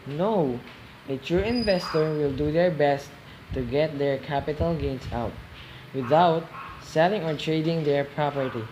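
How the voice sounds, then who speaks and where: A man's voice: a little high, a little slow, lightly acted. Man playing a didactic real estate investor. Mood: firm, explaining. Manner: didactic, repeats phrases for emphasis. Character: calm, didactic male real estate investor being interviewed. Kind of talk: scripted interview in a student radio play. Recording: faint background noise.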